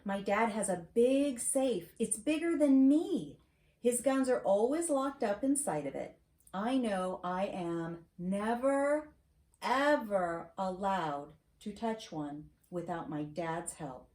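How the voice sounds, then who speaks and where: A middle-aged American woman reading a storybook aloud to a child, with casual asides and questions, in an expressive, teacherly manner. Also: mid-range voice, slow pace. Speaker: host reading to her granddaughter. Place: home library, seated at a desk. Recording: roomy echo, clear background noise.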